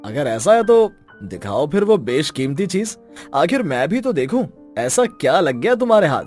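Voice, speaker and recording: A man playing a poor, greedy, scheming village husband in an animated story, exclaiming and coaxing. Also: on the high side, moderate pace, soft background music. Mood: eager. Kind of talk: Hindi animated story dialogue.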